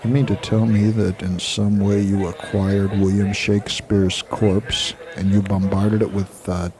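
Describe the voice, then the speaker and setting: An actor playing an earnest, skeptical literature professor in a 1980s audio drama. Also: male voice, lowish voice, level tone, measured pace, a crowd in the background. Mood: curious, incredulous. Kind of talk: 1980s audio drama dialogue with inner monologue. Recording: noisy old tape with background hiss.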